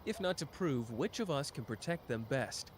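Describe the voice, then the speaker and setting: A man's voice: medium pitch, very animated, normal speed, background noise, lightly acted. A man voicing a smug, playful young schemer who teases and drawls in an audio drama. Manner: teasing, sly, drawling. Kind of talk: ASMR roleplay audio drama.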